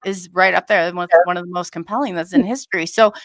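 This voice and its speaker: A woman hosting an online interview show, talking in a chatty, halting way with many restarts. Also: fairly low pitch, no room sound. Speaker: host.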